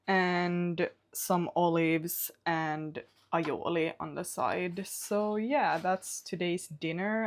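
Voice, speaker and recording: A young woman with a Swedish accent, Swedish her first language, chatting casually to camera in her home kitchen as a vlogger and often rephrasing herself. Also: lowish voice.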